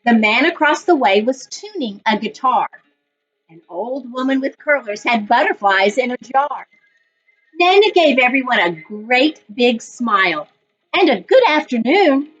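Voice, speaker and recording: A woman reading coach reading a children's story aloud in an expressive, deliberate storytelling manner. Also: fairly high pitch, husky, soft background music. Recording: dull lo-fi online recording.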